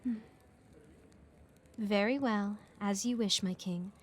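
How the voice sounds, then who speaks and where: A woman's voice: medium pitch, takes it slowly. A woman playing a scheming noblewoman in a fantasy romance audio drama, formal and pointedly polite. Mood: slighted, insistent. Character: haughty, scheming noblewoman duchess, politely slighted.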